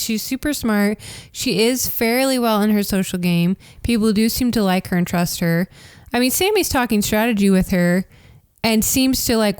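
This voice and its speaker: A woman's voice: medium pitch, clear, resonant tone, no room sound, studio quality. A woman co-hosting a podcast, giving her views in casual, agreeable chat. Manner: agreeable, frequent short backchannels. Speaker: podcast co-host. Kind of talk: casual reality-TV recap chat.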